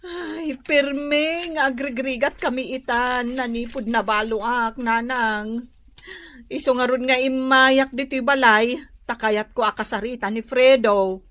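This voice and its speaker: A woman's voice: sits high, fairly even intonation, takes it slowly, fairly bright tone, dry recording. A woman acting a worried, scolding wife in a comedy radio drama, plaintive and full of exclamations. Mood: worried, lamenting.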